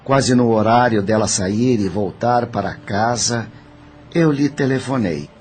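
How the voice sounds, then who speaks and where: An actor with a Brazilian accent playing a dead, greedy, scheming nephew who confesses in a matter-of-fact, calculating way in an audio drama. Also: male voice, fairly low pitch, a little slow, a little mellow, a little hiss or hum, natural voice. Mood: coldly confessing.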